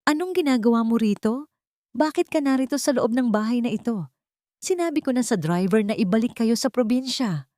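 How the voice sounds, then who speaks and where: A woman's voice: on the high side, expressive, fairly quick, warm tone, dry recording, voice pushed up, lightly acted. A pretentious rich matriarch played by a woman narrator in a storybook. She speaks with affected, commanding self-importance and swoops through her lines. The sound is close and dry. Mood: indignant, shocked. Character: haughty, pretentious wealthy society matriarch mother-in-law.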